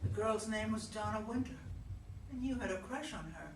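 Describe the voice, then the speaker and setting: A sharp-tongued, wounded jilted fiancée played by a woman in a dramatic play reading. She is blunt and challenging. The recording is echoey and distant, with faint noise. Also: a little high, animated, normal speed. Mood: needling.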